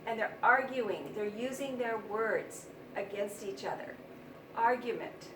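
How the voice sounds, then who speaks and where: A woman teaching young children, explaining things simply and deliberately with plenty of repetition. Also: fairly high pitch. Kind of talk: read-aloud vocabulary lesson for young children. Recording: roomy, distant mic, noisy background.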